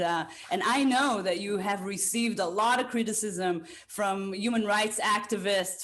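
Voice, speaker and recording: Halting female podcast host. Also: medium pitch. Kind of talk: conversational interview hosting.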